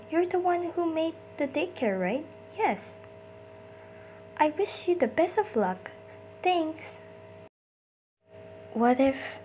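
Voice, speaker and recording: A woman's voice: high-pitched, a little hiss or hum, rough recording. A woman doing an amateur comic dub, acting out short character lines theatrically in switching voices.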